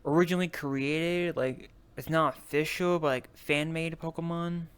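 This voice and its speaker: A person speaking casually and haltingly while role-playing in an online voice chat. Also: medium pitch, steady tone, clean tone. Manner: hesitant, halting explanations. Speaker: role-player in a group meet-up. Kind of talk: casual in-character improvised chat. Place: online voice chat in a virtual world.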